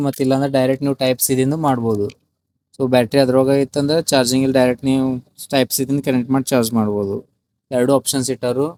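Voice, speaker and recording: A man's voice: mid-range voice, mellow. A man with a North Karnataka Kannada accent presenting a product in a casual unboxing style, with repetitive sales patter and English words mixed in. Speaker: shop video host and product presenter.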